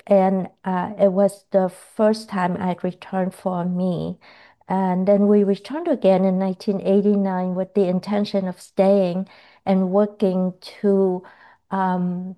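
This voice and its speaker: A woman in her sixties from Vietnam, telling her life story as a radio guest in a slow, halting way with many pauses. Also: medium pitch, dark tone. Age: 60s. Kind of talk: personal life account on a radio show.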